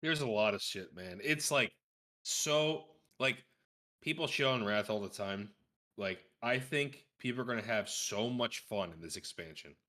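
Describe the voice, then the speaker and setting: A man's voice: on the low side, lively intonation, normal speed, bright, forceful tone. A man in a casual gaming podcast conversation, explaining details in an informal, knowledgeable way. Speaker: podcast guest.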